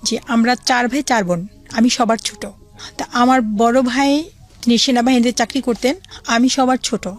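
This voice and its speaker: Higher-pitched middle-aged Bangladeshi woman. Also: fairly even intonation, normal speed, soft background music. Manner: acts out remembered dialogue as she narrates. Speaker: guest telling her life story. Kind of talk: conversational personal storytelling.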